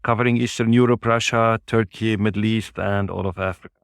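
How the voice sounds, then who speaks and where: A man of Lebanese origin, a native Arabic speaker, answering interview questions in a measured way with frequent pauses. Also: lowish voice, fairly even intonation, vocal fry, smooth, no room sound, studio quality. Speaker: guest, business executive.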